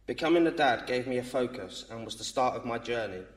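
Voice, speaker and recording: A man's voice: mid-range voice, expressive, a little fast, far from the mic. A man in his thirties from England, nervously reading his baptism testimony aloud. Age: 30s. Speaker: baptism candidate. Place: church. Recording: roomy church sound from off mic.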